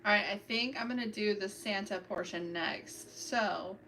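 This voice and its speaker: A woman's voice: mid-range voice, a little slow, sharp tone, far from the mic, soft background music, low-quality recording. Chatty woman streaming her nail art. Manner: chatty, self-narrating, talks to chat while working. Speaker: livestream host doing nail art. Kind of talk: casual chat with live viewers. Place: home, doing a manicure.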